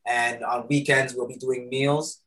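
Male guest, relaxed rambling answers, average pitch. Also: measured pace. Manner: loose and conversational, many fillers and "right?". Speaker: guest, patty shop founder. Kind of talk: casual interview answers. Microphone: recording studio mic.

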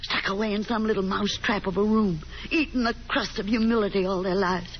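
A woman's voice: medium pitch, fairly even intonation, a little fast, close to an everyday voice. An actress playing an anxious, domineering middle-aged mother in a 1950s radio drama, speaking with dramatic, insistent reproach. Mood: bitter, scornful. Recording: dull, noisy 1950s radio recording.

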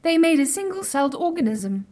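A woman's voice: high-pitched, big pitch swings, brisk, darkish tone. A woman playing a haughty, literal-minded artificial intelligence in an audio drama, speaking in stilted, precise, formal phrases, blunt and condescending. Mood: disdainful.

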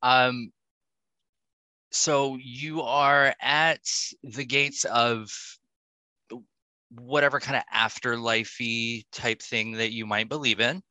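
A man hosting a podcast interview casually, setting up his questions in a deliberate way. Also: medium pitch, fairly even intonation, bright, forceful tone, dry recording, low-quality recording. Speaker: podcast host.

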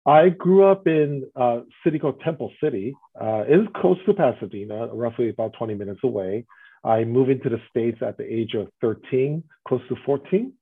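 A husky, slightly breathy man from Taiwan heard over a thin, phone-like line. He answers as a restaurant guest in an interview, explaining carefully and often adding a quick "right?" to his points. His pitch sits in the middle range for a man. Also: animated.

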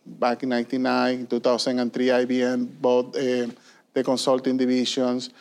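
A man's voice: medium pitch, monotone, normal speed, darkish tone. A man, a guest answering interview questions earnestly, with many fillers and tag questions. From Venezuela. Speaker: guest, industry consulting leader.

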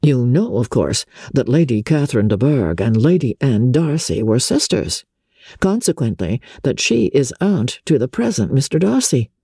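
A woman plays a well-mannered young gentleman in a storybook reading, confiding and quietly critical. The delivery is measured, in a mellow, husky voice recorded dry and close. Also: rumbling low, sing-song, no echo, heavily acted.

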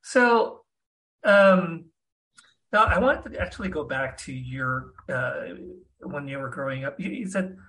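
Male podcast host, bright, fairly high voice, curious, restarts a lot. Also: animated, a little slow, rough recording. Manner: curious, self-deprecating questions with repeated restarts. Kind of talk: casual interview.

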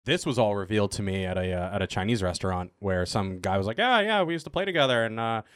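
A man's voice: on the low side, animated, fast talker, fairly bright tone, clear, resonant tone, no room sound. Anecdote-telling man, dry close recording. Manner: anecdotal, acts out quoted exchanges, teasing. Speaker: cast member of an audio drama podcast. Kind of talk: casual panel chat.

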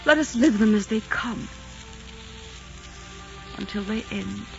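A dull vintage radio recording with clear music carries a queen in love, at a middling pitch. She is proud, devoted and sorrowful, speaking in the earnest, formal, theatrical manner of 1940s drama. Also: slow. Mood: tender.

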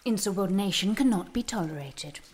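A woman's voice: mid-range voice, expressive, fairly quick, a little mellow, lightly acted. A woman playing a cold, commanding corporate director with a curt, authoritative manner in a dystopian audio drama.

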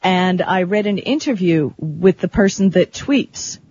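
Woman radio co-host, husky voice. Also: fairly low pitch, expressive, a little slow, darkish tone, no echo. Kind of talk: casual talk radio discussion. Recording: dull lo-fi radio stream.

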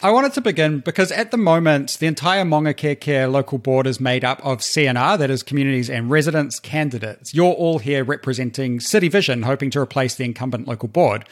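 A man's voice: mid-range voice, brisk, few pauses. A man hosting a podcast interview with local election candidates, moderating in an orderly, friendly way. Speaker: podcast host.

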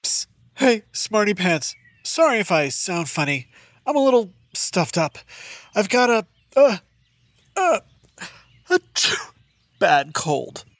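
A woman's voice: mid-range voice, sing-song, slow pace, pauses often, no echo. A woman doing a stuffy-nosed friendly narrator. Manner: quizzing kids with multiple-choice questions. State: stuffed-up nose. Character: friendly narrator with a bad head cold. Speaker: show narrator.

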